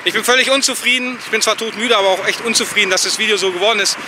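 A man's voice: high-pitched, racing. A man, vlogging casually in blunt, colloquial language. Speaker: vlog host. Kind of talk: casual vlog chat. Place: outdoors, at an event and along a roadside. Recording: loud outdoor ambient and vehicle noise.

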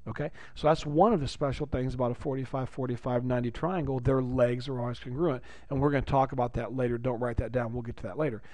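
A man with a raspy voice teaching a geometry lesson, upbeat and chatty, repeating key phrases for his students. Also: mid-range voice, steady tone, fast, few pauses, darkish tone, no echo. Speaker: math teacher. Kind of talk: recorded classroom lecture.

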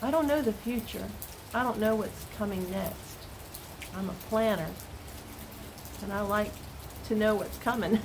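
Slow, soft-spoken older woman. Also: medium pitch. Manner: slow, soft and earnest, with pauses. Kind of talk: gentle spoken devotional. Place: indoors, small room by a door, rain outside. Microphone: phone camera at close range. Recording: steady rain noise behind. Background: rain falling.

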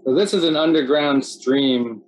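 A middle-aged man, presenting a slideshow in a relaxed, chatty way full of "so yeah" and "um", speaking over a video call from home. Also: medium pitch, a little slow, a little hiss or hum, low-quality recording. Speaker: city cave biologist presenting. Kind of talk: casual narrated slideshow talk.

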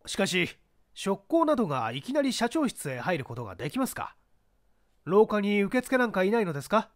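A man voicing an authoritative prosecutor in a mystery audiobook, questioning witnesses formally and deliberately. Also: sits high, big pitch swings, dry recording, studio quality. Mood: probing.

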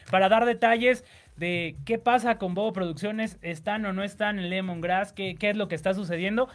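A man's voice: sits high, a bit bright. A young man with a Mexican accent hosting a celebrity gossip radio show, chatting along and leading into breaks in an announcer tone. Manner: upbeat, hands off to breaks in announcer tone. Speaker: entertainment show host. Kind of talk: casual radio chat and show links. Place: small radio studio booth. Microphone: studio mic with headphones.

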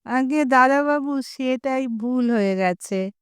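A woman's voice: sits high, slow, smooth, no echo, studio quality. Woman playing a meek, fawning workman. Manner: humble, fawning replies. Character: meek, simple-minded male mason, grovelling.

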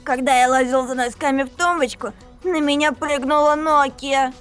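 A woman plays a stoned young man spinning dreamy nonsense stories, in a high voice. It is a homemade visual novel dub with faint music behind. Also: steady tone, moderate pace, fairly bright tone. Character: stoned rambling young male co-author.

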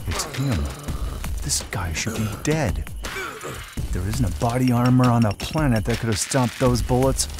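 An actor playing a cocky, cold-blooded sniper who narrates a motion comic in a terse, cynical way. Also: male voice, mid-range voice, lively intonation, a little slow, lightly acted. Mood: disbelieving, tense. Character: hard-boiled Hydra sniper, cocky cold-blooded male killer. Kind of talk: first-person scripted narration of a motion comic. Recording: loud music under the voice.

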